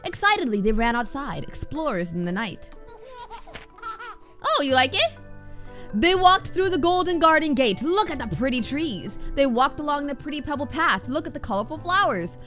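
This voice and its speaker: A young woman with a high, bright voice commentates on a video game. She is animated and reactive, swinging widely in pitch as she talks to the game, with music behind her. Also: moderate pace. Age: young adult. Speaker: gaming streamer. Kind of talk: casual playthrough commentary with reading aloud.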